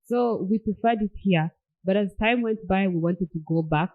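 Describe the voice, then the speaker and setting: A young woman from England and Rwanda, a podcast guest chatting in a relaxed way, laughing often and dropping many filler yeahs. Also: mid-range voice, normal speed. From born in England, raised in Rwanda. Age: young adult. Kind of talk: casual interview chat. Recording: tinny phone-like line.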